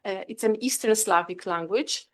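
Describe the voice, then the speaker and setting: A woman's voice: mid-range voice, moderate pace, fairly bright tone. Polish woman giving an academic talk. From Northeast Poland. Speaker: academic researcher, guest speaker. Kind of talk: academic presentation with slides.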